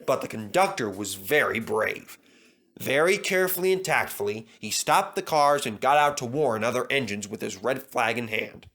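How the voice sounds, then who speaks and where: A man reading a children's railway story aloud in an expressive narrating style. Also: medium pitch, normal speed. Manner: expressive, lively. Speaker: storybook reader.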